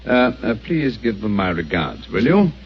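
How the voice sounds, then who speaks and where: An actor playing a calm, brilliant male detective in an old radio drama, crisp and assured. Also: on the low side, normal speed. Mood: cool. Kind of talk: 1940s radio acting. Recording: dull lo-fi old radio recording.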